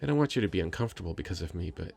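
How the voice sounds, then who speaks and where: A man's voice: low voice, expressive, very fast, creaky, a little mellow. A man playing a shy, sweet, nervous young nerd in an intimate ASMR roleplay, stammering and rambling self-consciously. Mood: shy, playful. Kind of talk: scripted ASMR roleplay, intimate conversational acting.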